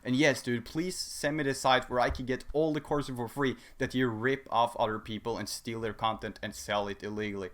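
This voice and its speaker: A man's voice: medium pitch, fairly even intonation, normal speed, hardly any pauses, fairly bright tone. Blunt, emphatic eighteen-year-old man. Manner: blunt, emphatic, repeats his points. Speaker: dropshipping channel host answering viewer questions. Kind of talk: casual Q&A vlog.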